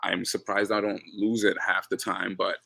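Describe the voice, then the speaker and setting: A man's voice: low voice, normal speed, creaky. A man from Ghana, a basketball coach, talking casually as a guest, repeating words as he goes. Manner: relaxed, repeats words, says he has a loud voice. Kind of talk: casual interview chat.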